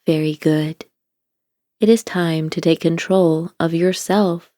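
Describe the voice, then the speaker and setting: Female guided-meditation narrator, lowish relaxed voice, calm deliberate delivery, very dry close recording. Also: dry recording. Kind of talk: scripted guided meditation, slow gentle instruction.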